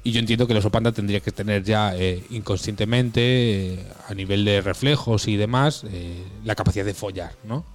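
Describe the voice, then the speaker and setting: A man's voice: lowish voice, brisk, hardly any pauses. Ranting man with faint music. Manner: mock-outraged ranting, swearing, addresses listeners with "¿vale?". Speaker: podcast co-host. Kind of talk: casual comedic rant.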